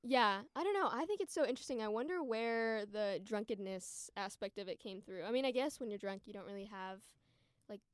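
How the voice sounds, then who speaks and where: Podcast guest, female, about 20, American. Crisp, dry close sound, chatty and informal delivery. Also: medium pitch, expressive, brisk, no echo. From United States. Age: around 20. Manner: informal, frequent "like" and "you know". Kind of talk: casual conversational chat and storytelling.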